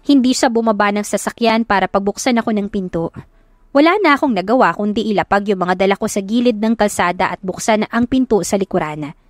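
A woman's voice: mid-range voice, a little fast, no room sound, clean studio sound. A woman reading scripted first-person romance narration as an audiobook narrator.

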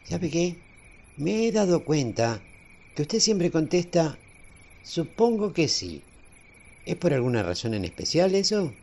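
A man's voice: on the high side, sing-song, darkish tone, heavily acted. An actor playing an easygoing, teasing small-town railway man in a radio drama, folksy. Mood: reflective, probing. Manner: folksy, unhurried, conversational.

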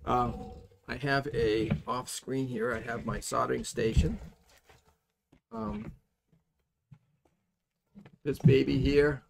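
An older man with a noticeably creaky, gravelly voice at an average pitch teaches a practical task at his bench. His delivery is folksy and halting, rambling along informally as he demonstrates each step. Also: slight background noise. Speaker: soldering instructor. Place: indoors at a workbench.

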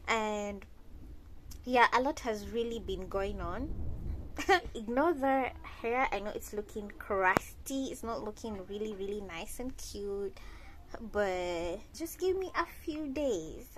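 A woman's voice: medium pitch, expressive, takes it slowly, background noise. A young woman chatting casually to her viewers while lying in bed, filming on a phone held close. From Kenya. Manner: chatty, addresses viewers as guys. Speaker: lifestyle vlogger. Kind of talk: casual vlog chat to camera.

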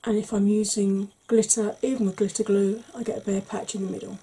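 A woman in her forties talks through a makeup tutorial in a soft, hushed ASMR manner, slow and patient. Her voice is warm and mellow, and very breathy, slipping into whispers. She sounds close to a desk webcam in a small room at home. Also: mid-range voice, flat delivery. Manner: slow, gentle, patient explaining. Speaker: makeup vlogger.

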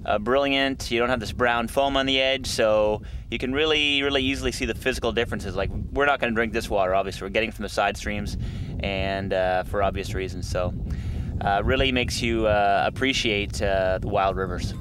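Man speaking outdoors over loud background noise. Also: lowish voice, steady tone, fairly quick. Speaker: expedition filmmaker and presenter. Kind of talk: unscripted talk to camera on a canoe trip. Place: outdoors by a wild river and trail. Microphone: handheld camera at arm's length. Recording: noisy outdoor camera audio with ambient sound.

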